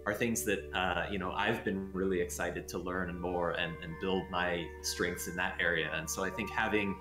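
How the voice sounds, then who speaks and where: A man's voice: low-pitched, fairly even intonation, moderate pace. A man talking casually and off the cuff in an unscripted panel chat. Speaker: software team lead. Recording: roomy echo, music underneath. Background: background music.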